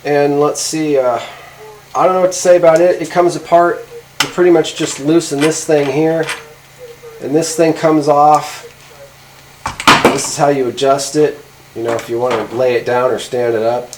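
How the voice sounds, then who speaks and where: A man's voice: on the high side, steady tone, normal speed. Middle-aged man, rambling, with faint background noise. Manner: rambling, hesitant, informal. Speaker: video host. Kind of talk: casual hands-on gear demonstration. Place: indoor garage workshop at a workbench.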